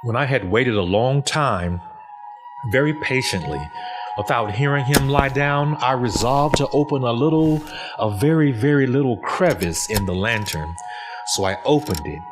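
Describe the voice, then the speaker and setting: A man's voice: medium pitch, expressive, normal speed, over music, lightly acted. A man playing an obsessive, paranoid murderer in dramatic gothic horror narration, theatrical and emphatic, repeating his words. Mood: hushed suspense. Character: mad, obsessive murderer confessing, paranoid and gleeful.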